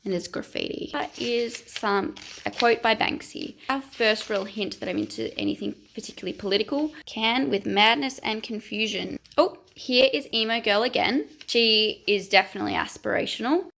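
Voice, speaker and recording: A chatty woman in her twenties talks through an art vlog in a high, bright voice. Her delivery is gravelly with fry and playfully self-mocking, and she sounds like she is losing her voice. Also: moderate pace. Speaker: art vlogger. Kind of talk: casual vlog commentary.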